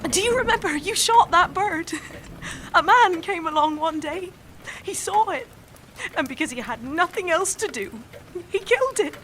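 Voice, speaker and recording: Fragile young actress, very high voice, slow halting delivery, fervent and erratic, audio drama, noisy background. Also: female voice, bright, forceful tone, heavily acted. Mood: agitated, confused. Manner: halting, repetitive, self-correcting, intense. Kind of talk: audio drama monologue acting.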